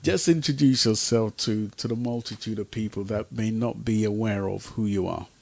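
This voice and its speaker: A man hosting a talk show conversationally, courteous and unhurried as he brings in his guests. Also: lowish voice, gravelly, darkish tone. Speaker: host.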